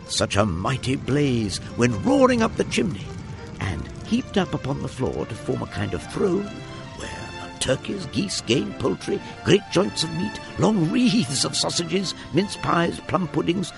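A man's voice: on the high side, vocal fry, full character voice. A man narrating a classic story for a radio drama, relishing each detail with dramatic expression.

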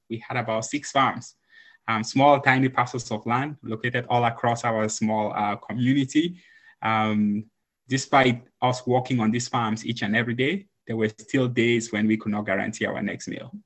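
A man's voice: mid-range voice, steady tone, moderate pace, fairly bright tone. A man from Cameroon, a podcast guest, telling his story earnestly with many filler ums. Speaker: podcast guest, nonprofit founder. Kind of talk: conversational storytelling.

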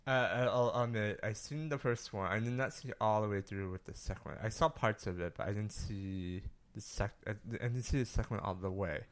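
A man's voice: lowish voice, fairly quick, few pauses. A man co-hosting a podcast in casual chat, agreeable and repeating words for emphasis. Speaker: podcast co-host.

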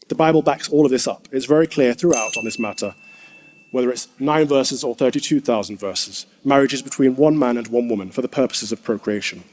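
A man, a clergyman, giving a formal, measured speech to an assembly. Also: medium pitch, a little mellow. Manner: measured, firm oratory.

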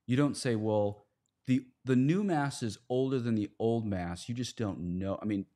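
A man's voice: mid-range voice, moderate pace, mellow. A man hosting a conversational podcast, making his case emphatically with rhetorical questions and acted-out quotes. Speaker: podcast host. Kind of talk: conversational podcast discussion, argumentative.

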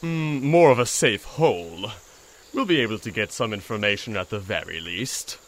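A woman voicing a very deep, cryptic toad. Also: expressive, moderate pace. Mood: dry. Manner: dry, formal, cryptic phrasing. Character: cryptic, formal talking toad sidekick.

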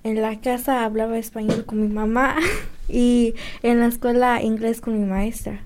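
A teenage student girl speaks softly in a group chat. Her voice is higher than most, mellow and even in its melody. Her answers stay short and plain. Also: unhurried. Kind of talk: casual group discussion.

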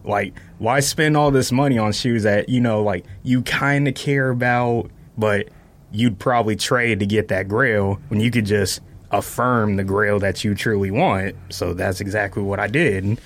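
A man's voice: fairly low pitch, measured pace. A man in a casual podcast chat, explaining things informally step by step. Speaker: podcast guest talking about sneakers.

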